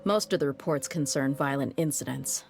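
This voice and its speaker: A woman's voice: extremely low pitch, moderate pace, creaky, darkish tone, soft background music. An actress playing a tough, blunt young female mercenary in a video game, talking in an easygoing, matter-of-fact way. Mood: steady. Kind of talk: game voice acting, story dialogue.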